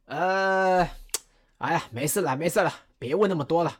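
A woman playing Cao Cao, a weary, lonely middle-aged warlord, speaking slowly with heavy sighs in an audio drama. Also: lowish voice, breathy edge. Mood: wistful. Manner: slow, heavy sighing delivery.

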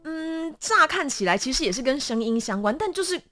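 A woman with a Taiwanese Mandarin accent, telling stories as a guest in a conversational interview with a dramatic, animated delivery. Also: high voice, fairly quick, soft background music. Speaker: voice actor guest.

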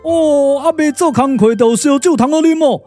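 A man's voice: extremely high pitch, moderate pace, a little mellow, soft background music, voice pushed up. A man voicing a boisterous, wine-loving comic warrior in a puppet radio drama, full of exclamations. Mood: excited, jovial. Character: rowdy, jokey, wine-loving warrior immortal, comic and boastful.

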